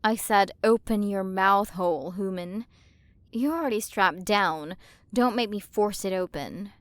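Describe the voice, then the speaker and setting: A woman's voice: mid-range voice, lightly acted. A woman playing a haughty, possessive alien galaxy queen in an ASMR roleplay, condescending and flirtatious as she toys with the listener. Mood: commanding, coaxing. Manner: condescending, flirtatious, drawn-out delivery with breathy drops into whispers. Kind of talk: ASMR roleplay, scripted character acting.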